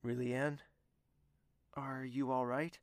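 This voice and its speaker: A gravelly, brooding lovesick nobleman. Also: medium pitch, little pitch movement, slow, dry recording. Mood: tender, worried. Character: anxious, lovesick nobleman brooding over an evasive woman. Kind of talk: audio drama dialogue and first-person narration.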